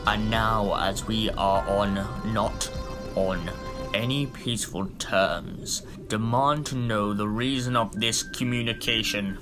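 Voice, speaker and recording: An actor playing a stately, defiant Lord President in a sci-fi audio drama, speaking in a grave, formal, measured way. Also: male voice, lowish voice, steady tone, over music. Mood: haughty defiance.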